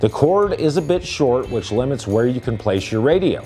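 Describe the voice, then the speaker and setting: Male presenter for scripted gear review narration, lively inflection, music underneath. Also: medium pitch, normal speed.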